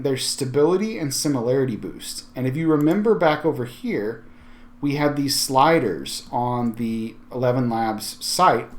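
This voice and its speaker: A man with a medium-pitched voice walks through a software tutorial. He talks in a conversational, explanatory way, as a presenter guiding viewers through a screen demonstration. His delivery is informal, often thinking aloud as he explains. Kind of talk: casual software screencast walkthrough.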